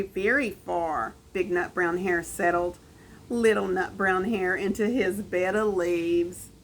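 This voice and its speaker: A woman reading a picture book aloud to a small child, adding drawn-out, expressive asides. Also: medium pitch, a little hiss or hum. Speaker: storyteller.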